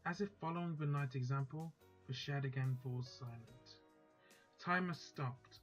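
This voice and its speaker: A man's voice: mid-range voice, normal speed, muffled recording, faint music behind. A man hosting a let's play, chatting casually and then reading a visual novel's text aloud.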